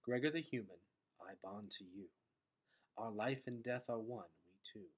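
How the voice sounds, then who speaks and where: A man voicing a humble, dejected bat in a storybook, solemn, hushed and halting. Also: on the low side, husky. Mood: solemn vow.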